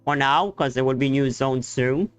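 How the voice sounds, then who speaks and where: A man giving casual live game commentary as a streamer, explaining things haltingly in non-native English grammar. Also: medium pitch, smooth, no echo. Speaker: gaming streamer. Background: faint game music.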